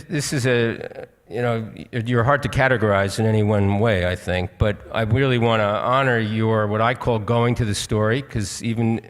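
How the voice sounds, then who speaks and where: An older man with an American accent hosts a live on-stage conversation. His voice is fairly low and earnest, and he rambles with halting phrases. Hall reverb surrounds him. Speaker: interviewer, moderator. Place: auditorium stage.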